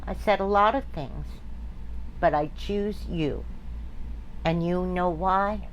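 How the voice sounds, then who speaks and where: A sharp homeowner speaks curtly and brusquely in a low voice, as a woman reads her lines. The pace is very slow. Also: slight background noise, rough recording. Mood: tender. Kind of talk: play reading.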